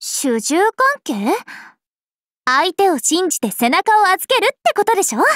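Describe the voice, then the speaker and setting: An actress playing a cheerful, playful young vampire princess in an anime game, speaking in a bubbly, teasing, animated way. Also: female voice, very high voice, moderate pace, no room sound, full character voice. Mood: excited, bubbly. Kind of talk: anime game character voice lines.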